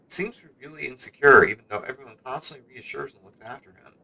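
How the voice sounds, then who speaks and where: A man's voice: medium pitch, expressive, fast, bright, forceful tone, low-quality recording. A man reading out the game lines of a chatty, aging female cello who keeps a music shop. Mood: confiding, fretful. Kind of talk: reading game dialogue aloud.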